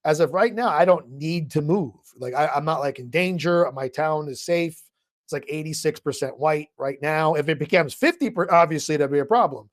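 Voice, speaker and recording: A man's voice: fairly high pitch, moderate pace, no echo, studio quality. A man hosting a live audio chat room, talking in a loose, rambling way. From New Jersey. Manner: loose, rambling, laughs at own remarks.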